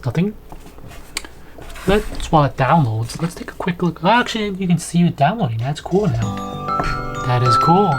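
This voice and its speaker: A man in his thirties giving casual, conversational unboxing and setup commentary as he thinks aloud in a room at home. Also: medium pitch. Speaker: video host, product reviewer. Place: small home room, indoors.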